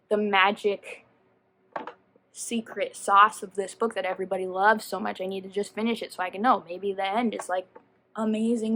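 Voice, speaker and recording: A woman giving a casual, chatty book review as a vlogger, animated and slangy, often rambling and interrupting herself. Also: medium pitch, slight background noise, low-quality recording.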